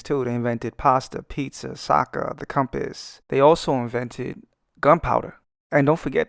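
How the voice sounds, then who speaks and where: Man, brooklyn accent, gravelly voice, dry close sound, cocky cussing rant, young adult. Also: mid-range voice, no room sound. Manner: swaggering, profane, joking. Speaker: artist and channel host. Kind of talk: rambling comedic commentary over a speedpaint.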